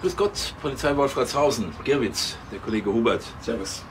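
Nosy, officious TV-comedy cop man acting, formal manner, middle pitch, noisy surroundings. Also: normal speed, close to an everyday voice.

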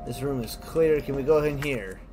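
A man's voice: medium pitch, moderate pace. A man giving casual let's play commentary, thinking aloud in short remarks. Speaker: let's player. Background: music and game sounds.

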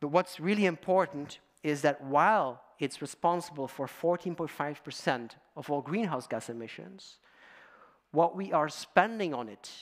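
A middle-aged man from Belgium giving a storytelling conference talk in a large auditorium, addressing the audience with fillers. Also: fairly high pitch. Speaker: guest speaker.

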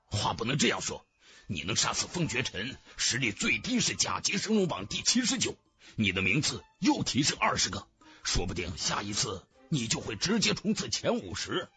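Audiobook ally by male reader: male warrior, sincere, respectful, measured, pitched down. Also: wildly swooping pitch, sharp tone, faint music behind. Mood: earnest, measured. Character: frank, respectful male warrior comrade, openly impressed. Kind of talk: fantasy audiobook character voice.

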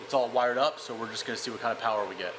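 A man's voice: medium pitch, rapid-fire, low-quality recording. A man giving a casual, matter-of-fact technical demonstration as a company product demonstrator. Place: indoor workshop.

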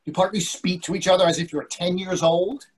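A man's voice: high-pitched, steady tone, fairly quick, slightly rough. A man in his sixties acting in a comic readers theatre play as a dry, commanding management consultant, over a video call. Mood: dry, challenging. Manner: dry, authoritative. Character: self-assured older management consultant, dryly commanding husband. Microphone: computer microphone on video call.

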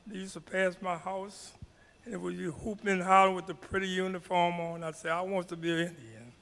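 High bright-voiced man in his nineties. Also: gravelly. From New Orleans, Louisiana. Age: 90s. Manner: halting, repeats words when starting. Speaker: panel guest, Mardi Gras Indian elder. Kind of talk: answering interview questions. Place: indoor community hall. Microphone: handheld mic. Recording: long echo in a hall.

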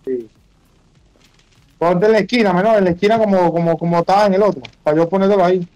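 A man's voice: high voice, fast, darkish tone, soft background music, low-quality recording. A man with a Venezuelan accent chatting casually over a game, slangy and bossy, repeating his instructions to teammates. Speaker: gaming streamer. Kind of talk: casual gaming chat with teammates.

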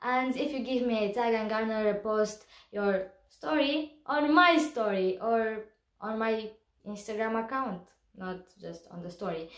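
A young woman from Romania with a Romanian accent, chatting to camera in a giggly, animated vlog. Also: on the high side, echoey room. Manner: bubbly, giggly, gestures a lot. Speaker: vlogger. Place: small room indoors.

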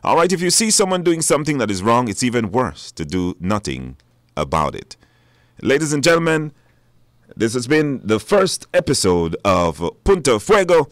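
A man's voice: medium pitch, no room sound. Man hosting radio, close dry sound. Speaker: radio talk show host. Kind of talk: live radio hosting with call-ins.

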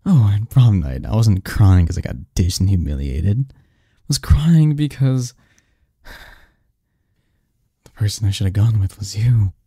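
A man playing a lovestruck young research assistant in an intimate ASMR romance roleplay, murmuring haltingly as he confesses to his best friend. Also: fairly low pitch, expressive, mellow, slightly rough, no echo. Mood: wistful, regretful. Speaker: audio roleplay performer.

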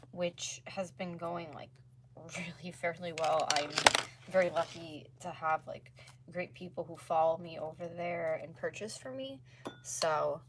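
A woman in her twenties with a slightly hoarse voice, chatting casually as she livestreams crafts from home. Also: fairly low pitch. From North America. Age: 20s. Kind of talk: casual chat while painting. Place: small room at home, indoors. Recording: clearly noisy home livestream recording.

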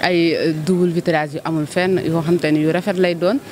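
A young woman with a Senegalese accent, answering interview questions as a company representative into a handheld mic, steady and salesy. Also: fairly low pitch, brisk. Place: exhibition stand indoors.

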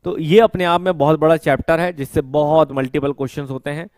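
A man in his thirties teaches at a studio whiteboard, speaking into a headset mic. His voice sits a bit high for a man, and the sound is close and dry with no room echo. He explains patiently and drags out technical terms while he writes. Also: flat delivery, a little mellow. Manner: explains step by step, repeats key terms slowly while writing; mixes English technical terms into Hindi speech. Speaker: exam coaching teacher. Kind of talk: whiteboard lecture.

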